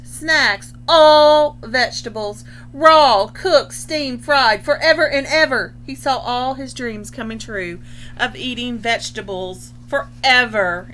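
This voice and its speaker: A woman reading a children's picture book aloud expressively, pausing to explain things in simple terms. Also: high-pitched, fairly bright tone, poor audio. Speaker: storybook reader.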